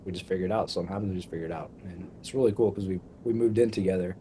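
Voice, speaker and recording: A man's voice: sits low, mellow, a little hiss or hum. A young man, a band member, chatting eagerly and upbeat in an interview. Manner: upbeat, eager, talks in quick bursts. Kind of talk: casual interview chat.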